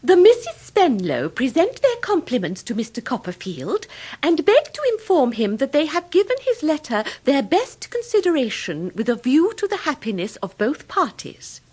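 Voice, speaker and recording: A woman's voice: sits high, wildly swooping pitch, moderate pace, a little mellow. A woman playing a prim, sentimental older spinster aunt in a radio drama, speaking formally and precisely.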